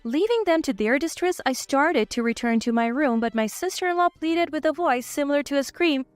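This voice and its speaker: A woman with a high voice narrates a scripted first-person drama. The recording is close and dry, with faint music running underneath. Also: expressive, fairly quick, no room sound. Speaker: story narrator. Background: faint background music.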